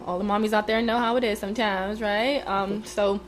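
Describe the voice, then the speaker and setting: A woman's voice: mid-range voice, steady tone, fairly quick, a little hiss or hum. A woman in her thirties hosting a podcast. She is under the weather with a cold and talks in a chatty, upbeat way. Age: 30s. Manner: chatty, upbeat, frequent "um" and "like" asides. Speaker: podcast host. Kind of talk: casual conversational interviewing.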